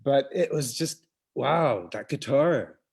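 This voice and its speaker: A man's voice: mid-range voice, animated, slow, vocal fry, background noise. A man from the Congo who grew up in Uganda, co-hosting a casual live-streamed chat with many fillers and loose, trailing phrases. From Congo, raised in Uganda. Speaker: co-host, museum programmer.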